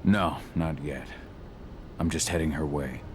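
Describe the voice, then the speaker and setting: An actor voicing a gruff werewolf warrior and steady, protective father in a video game, in a calm, measured, gravelly voice. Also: very deep voice, background noise. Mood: steady, reassuring. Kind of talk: video game cutscene acting.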